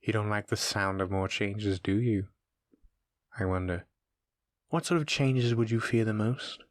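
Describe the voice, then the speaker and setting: Casting: male actor for a sadistic, playful monster in horror audio, lowish voice, close dry sound, taunting and musing with slow menace. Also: dry recording, lightly acted. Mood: gloating. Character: sadistic playful male shape-shifting monster, sinuous and many-legged. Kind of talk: horror audio roleplay addressed to the listener.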